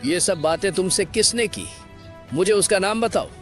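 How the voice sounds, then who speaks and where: A man's voice: high voice, normal speed, fairly bright tone, soft background music. A man voicing a solemn foreign camel peacemaker in a cartoon, earnest, with slightly broken grammar. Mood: solemn, concerned. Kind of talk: animated moral-story character acting.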